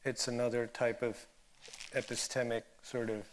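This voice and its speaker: A male moderator speaks in an unscripted, academic register. He feels his way through a long question, pausing and rephrasing thoughtfully as he goes, at an average male pitch. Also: level tone.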